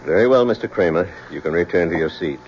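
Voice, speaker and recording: An actor in an old-time radio drama playing a stern, formal coroner presiding over an inquest in a deliberate, official manner. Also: male voice, low-pitched, lively intonation, darkish tone. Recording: muffled old radio recording, faint noise.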